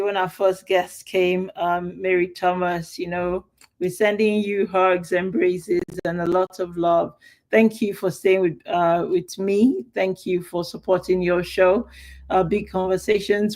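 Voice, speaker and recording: A woman's voice: lowish voice, a little mellow. A woman with a Nigerian accent hosting a live talk show and interviewing guests in a casual, chatty way with fillers and restarts.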